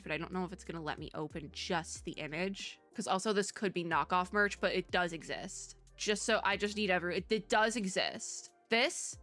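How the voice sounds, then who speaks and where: A woman giving casual, excited reaction commentary in a chatty, rambling and expressive way. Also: medium pitch. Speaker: reaction video host.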